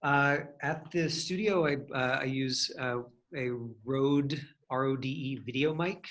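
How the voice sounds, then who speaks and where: A man's voice: mid-range voice, unhurried, a bit bright. A man with a mid-range voice speaks as an acting teacher in an online class. He talks casually and thinks aloud, circling around his points with plenty of hedging.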